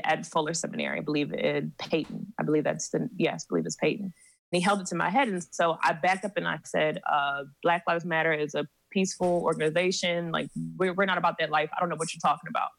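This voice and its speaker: A woman guest on a podcast, telling a personal story in a measured way with many pauses. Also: deep voice, gravelly, dry recording, rough recording. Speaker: seminary student. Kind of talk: recounting a personal story in conversation.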